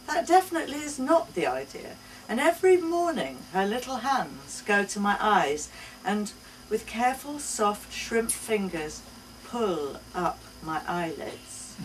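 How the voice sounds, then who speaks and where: A woman giving an expressive first-person reading of a short story at a live event. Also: mid-range voice. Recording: distant mic, faint room noise.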